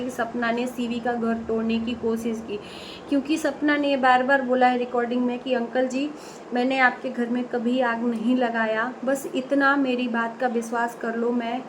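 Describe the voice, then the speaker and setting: A woman with a high voice shares her reaction with her followers, earnest and opinionated. She often stops mid-word to correct herself and starts over. A faint background hum sits under her voice. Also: poor audio. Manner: earnest, opinionated, addresses viewers directly; many fillers and self-corrections. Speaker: reaction vlogger. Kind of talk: direct-to-camera commentary.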